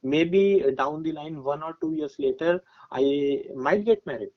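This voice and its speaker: Male, twenties, Indian accent. Fairly high-pitched, emphatic podcast guest talking through advice with personal examples; dull recording. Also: measured pace. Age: 20s. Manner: emphatic, explanatory, often checking with "right?". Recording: dull, muffled lo-fi audio.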